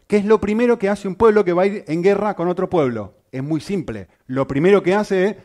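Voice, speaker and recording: A man preaching a sermon, asking the congregation rhetorical questions and speaking with lively emphasis. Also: sits high, fairly quick.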